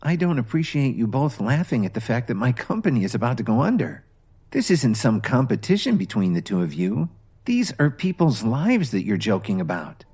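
A man's voice: mid-range voice, big pitch swings, normal speed, a little mellow, husky. A man voicing a tired, earnest wife who speaks with firm seriousness. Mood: weary. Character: weary, earnest wife running a failing company.

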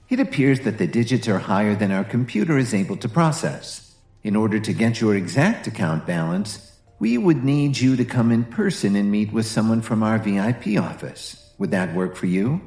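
A man voicing a soft-spoken, polite bank customer service agent. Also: fairly low pitch, roomy sound. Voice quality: soft, formal.

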